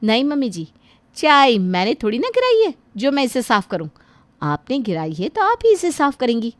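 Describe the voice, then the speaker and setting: A sharp-tongued, scornful young daughter-in-law, played by a woman narrator. Her fairly high voice swings a lot as she snaps back, with a dry, close sound. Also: normal speed, dry recording. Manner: snappy, scornful, lively.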